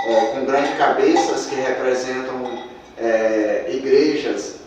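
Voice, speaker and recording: A man's voice: medium pitch, noisy background. A man teaching a percussion workshop, counting beats aloud as he demonstrates drums. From Maranhão, Brazil. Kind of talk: instructional demonstration. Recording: echoey room, mic at a distance. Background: percussion instruments being played.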